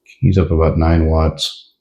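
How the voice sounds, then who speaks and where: Low-pitched, mellow man reviewing gear. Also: level tone, unhurried. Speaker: product reviewer. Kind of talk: casual hands-on gear review.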